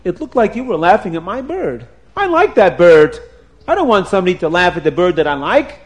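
A man voicing an excitable, bossy, easily offended king in a children's storybook tale, with demanding, repetitive questioning. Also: high voice, normal speed, pitched above the performer's own voice.

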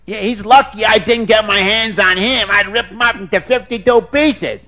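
A man voicing a gruff, short-tempered householder who blusters with indignation. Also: high-pitched, normal speed, bright, forceful tone, pitched above the performer's own voice. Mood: indignant.

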